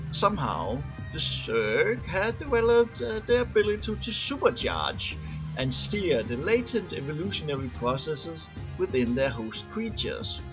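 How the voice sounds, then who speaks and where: A man's voice: mid-range voice, rough recording. A man reading game lore aloud as an amateur narrator, stumbling now and then and repeating words. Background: music.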